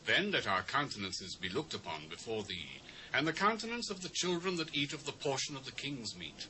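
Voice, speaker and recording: A man's voice: medium pitch, big pitch swings, a little fast, bright, forceful tone. A British actor playing a devout young captive nobleman, humbly and earnestly entreating in a dramatised scripture reading. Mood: humble pleading. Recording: noisy old cassette transfer.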